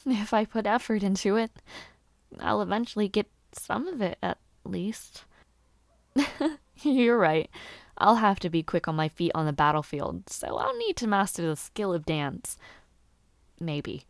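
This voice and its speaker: Young noble lady knight-to-be, acted by a woman, mid-pitched, bashful, playful, halting, formal wording, fantasy audio roleplay, very dry sound. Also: sing-song, creaky, no echo. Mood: self-deprecating, teasing. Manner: bashful, halting stammers, giggly, formal old-fashioned wording. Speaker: roleplay voice actor. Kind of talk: intimate scripted audio roleplay.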